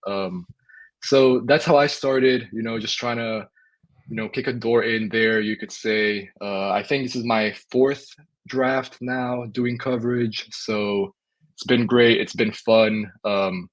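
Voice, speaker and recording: A young man from Spain, a guest on a basketball podcast, talking in a relaxed way. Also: on the low side, takes it slowly, rough recording. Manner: relaxed, lots of "you know" fillers. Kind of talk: casual interview.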